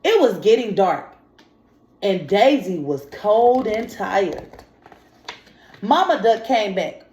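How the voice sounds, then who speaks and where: Slow-paced woman teacher in an echoey room. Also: medium pitch, sing-song, warm tone, a little hiss or hum. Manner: instructional, questioning, prompting. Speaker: teacher reading aloud to children. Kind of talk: read-aloud lesson with comprehension questions.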